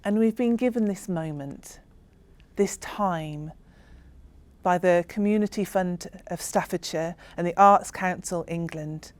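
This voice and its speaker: A woman storyteller giving a solemn, reflective spoken-word narration in a slow, ceremonial manner. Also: fairly low pitch.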